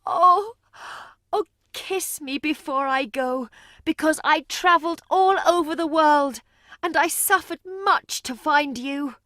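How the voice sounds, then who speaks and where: Woman playing a pleading beggar mother, high-pitched. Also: takes it slowly, sharp tone, no room sound, clean studio sound, exaggerated voice. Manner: pleading, emotional. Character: poor, weary, loving beggar mother, pleading. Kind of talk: dramatised storybook reading.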